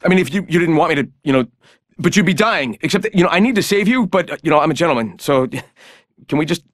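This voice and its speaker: An actor voicing a flustered, flirty gentleman hero in a video game, stammering and rambling awkwardly. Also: fairly high pitch, animated, fast talker, no echo, clean studio sound, lightly acted. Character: flustered, flirty, self-styled gentleman hero, adult man. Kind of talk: video game character voice lines.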